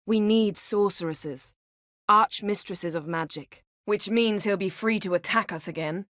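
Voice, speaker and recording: An actress playing a proud, sharp-tongued sorceress in a fantasy video game, speaking in a haughty, sardonic, clipped and articulate way. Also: female voice, medium pitch, unhurried, no room sound, clean studio sound, natural voice. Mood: grave.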